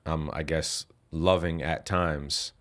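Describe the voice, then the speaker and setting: Deep-voiced man hosting a podcast. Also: steady tone, slow, a bit bright. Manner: attentive, encouraging, frequent affirmations. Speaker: podcast host. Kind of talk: interview conversation.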